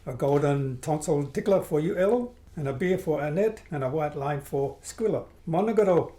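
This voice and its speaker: Guy doing a slick creepy gangster boss, polite and threatening, slightly high, echoey room. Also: animated, warm tone, natural voice. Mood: oily menace. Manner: slow, mock-polite, broken English. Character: smug menacing male gangster boss. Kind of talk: amateur radio play acting. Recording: roomy echo.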